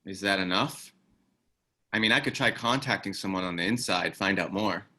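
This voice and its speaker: A man's voice: low voice, normal speed, pushed and bright. Man acting a wry, easygoing ex-military ally. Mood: subdued, sympathetic. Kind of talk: screenplay table read, naturalistic dramatic acting.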